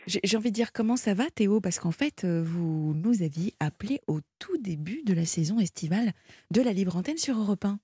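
Mellow, husky-voiced woman radio host. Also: mid-range voice, animated, fairly quick, no room sound, studio quality. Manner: gentle, hesitant, fills in for the caller. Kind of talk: late-night phone-in radio. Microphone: studio microphone.

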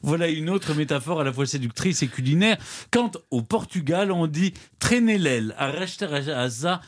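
A man tells the history of old expressions on a morning radio show. His voice rises and falls freely and sits a little high, light and teasing. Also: normal speed. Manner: lively and amused, teasing banter. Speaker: history columnist. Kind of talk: radio chronicle, playful storytelling. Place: radio studio.

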